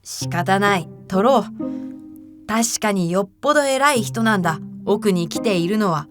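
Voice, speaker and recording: A woman voicing pompous, gullible young rich gentlemen from the city in a storybook reading, with self-assured, old-fashioned phrasing. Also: medium pitch, animated, moderate pace, music in the background, close to an everyday voice. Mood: earnest, deferential. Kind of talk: theatrical fairy-tale character reading.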